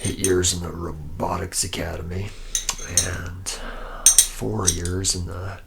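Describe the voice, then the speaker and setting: A man's voice: low-pitched, little pitch movement, takes it slowly, vocal fry, heavily acted. A man playing a jaded, boastful lead robot mechanic in a rambling, drawling ASMR roleplay monologue. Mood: weary, resigned. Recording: noisy close recording with clinking metal.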